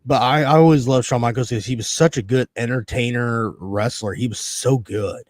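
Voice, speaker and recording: A man's voice: medium pitch, normal speed, no echo, clean studio sound. A man in his early thirties chatting casually as a podcast host, swearing freely and acting out his reactions as he tells stories. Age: early 30s. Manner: foul-mouthed, animated storytelling with quoted reactions. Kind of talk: casual chat, ranting and storytelling.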